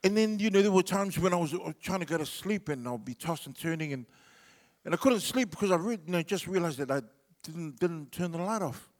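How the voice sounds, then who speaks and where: A man's voice: high voice, animated, brisk. Laid-back New Zealand man, echoing hall. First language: Samoan. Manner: laid-back and rambling, with many fillers. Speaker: comedian. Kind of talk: stand-up storytelling.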